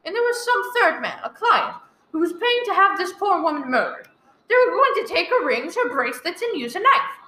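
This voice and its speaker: A woman's voice: very high voice, big pitch swings, moderate pace, sharp tone, full character voice. A woman playing an anxious, imperious, bedridden wife in a radio thriller, stammering and easily exasperated. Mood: agitated. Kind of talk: old radio thriller acting. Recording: roomy echo, live one-take recording.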